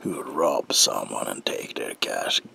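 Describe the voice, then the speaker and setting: A man's voice: rumbling low, animated, slow pace, pushed and bright, heavily acted. A man playing a gleefully deranged comic-book villain in a whispered ASMR roleplay, with a theatrical drawl and playful menace. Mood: sly, teasing. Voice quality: breathy gravelly whisper.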